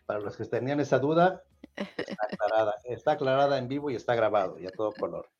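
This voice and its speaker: A man's voice: mid-range voice, animated, fast, a little mellow, muffled recording. A man from Mexico with a Mexican accent hosting a podcast, explaining things casually and repeating himself with a frequent "¿verdad?". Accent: Mexican Spanish. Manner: repeats words, adds "¿verdad?" often. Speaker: podcast host.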